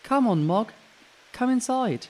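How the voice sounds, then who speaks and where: A man's voice: very high voice, lively intonation, slow, darkish tone, voice pushed up, exaggerated voice. A man voicing a kind, eager young boy in a children's storybook, in a simple, encouraging way. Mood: calling out.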